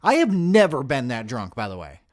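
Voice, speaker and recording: Animated sarcastic man in his forties. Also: medium pitch, a little fast. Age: 40s. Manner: profane, sarcastic, joking. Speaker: video host reacting to footage. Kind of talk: casual mocking commentary on police bodycam video.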